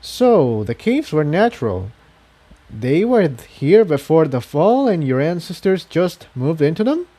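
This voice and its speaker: A man puts on a female pony adventurer for a storybook, raised in pitch. She is curious and full of questions. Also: big pitch swings, unhurried, mellow. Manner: inquisitive.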